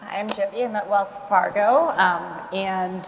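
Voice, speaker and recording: A woman's voice: mid-range voice, unhurried. A middle-aged woman speaking on a conference panel in a chatty, playful and emphatic way. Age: 40s to 50s. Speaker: panelist, talent attraction lead. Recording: reverberant hall, faint room noise.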